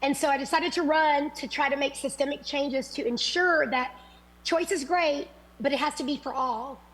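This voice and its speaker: Candidate, woman from Louisiana, sick, high voice, earnest and rambling, on a video call. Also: steady tone, moderate pace, fairly bright tone, rough recording. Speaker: political candidate. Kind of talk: forum answers.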